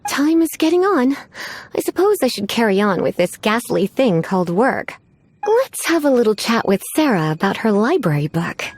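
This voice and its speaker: A woman's voice: on the high side, big pitch swings, normal speed, darkish tone, husky. An actress playing a flirtatious, teasing witch librarian with a coy, genteel manner in a video game. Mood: businesslike, coy. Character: flirtatious, languid adult witch librarian, teasing, quietly menacing. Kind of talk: video game character acting.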